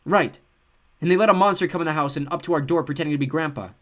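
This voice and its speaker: A man's voice: a little high, quick pace, fairly bright tone, voice pushed up, lightly acted. A man voicing a tearful, guilty young boy who stammers and sniffles, in an audiobook. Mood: upset.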